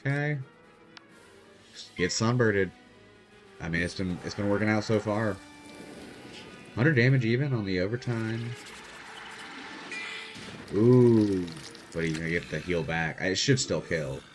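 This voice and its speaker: A soft-spoken young man casually commenting on his gameplay as host. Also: on the low side, a little slow, long pauses, faint music behind. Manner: quiet, soft-spoken, laid-back. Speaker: gaming channel host.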